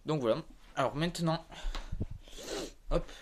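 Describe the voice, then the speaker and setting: Stuffy-voiced man giving a halting show-and-tell. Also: fairly high pitch. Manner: halting, filler-heavy, points things out one by one. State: stuffy from a head cold. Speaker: hobbyist presenting his toy collection. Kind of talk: casual show-and-tell narration. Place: converted attic room with creaky floor. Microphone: phone camera held in hand.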